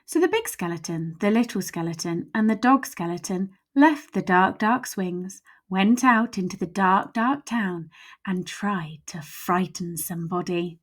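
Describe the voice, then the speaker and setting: A woman reads a children's story aloud in a medium-pitched voice. Her delivery is expressive, leaning on repeated words and building suspense through her phrasing. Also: a little slow.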